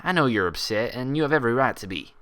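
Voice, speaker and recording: A man's voice: medium pitch, expressive, fast talker, fairly bright tone, clean tone, natural voice. A man voicing a friendly, proud and touchy female cowgirl pony doctor in a storybook, with a folksy American Southern drawl. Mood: remorseful.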